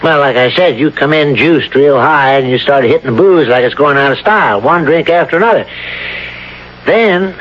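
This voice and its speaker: An American actor playing a rough, wary small-town bar owner in a vintage radio drama, with slangy, folksy, slightly hesitant delivery. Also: male voice, a little high, moderate pace, close to an everyday voice. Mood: accusing, matter-of-fact. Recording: dull lo-fi old radio broadcast, faint noise.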